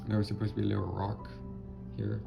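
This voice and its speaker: A man with a raspy voice talking casually about his finished spray painting, hesitant with many pauses and fillers. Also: on the low side, monotone. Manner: hesitant, many ums and restarts. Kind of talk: casual video commentary. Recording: muffled audio with loud music behind. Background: loud background music.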